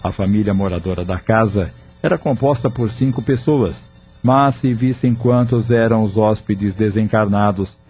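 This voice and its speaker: A man narrating a spiritist audio novel in a measured, solemn storytelling manner. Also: fairly low pitch, highs cut off, soft background music.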